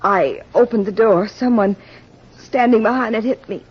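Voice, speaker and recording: An actress playing a dazed, distressed young woman in an old radio mystery, her voice weak and breathy. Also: a little high, moderate pace. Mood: shaken. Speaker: radio drama actor. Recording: muffled old radio recording.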